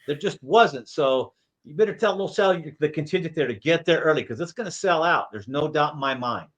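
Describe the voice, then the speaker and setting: Slightly high-voiced man, folksy sports host. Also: animated, fairly quick, fairly bright tone, dull audio. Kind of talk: casual sports chat.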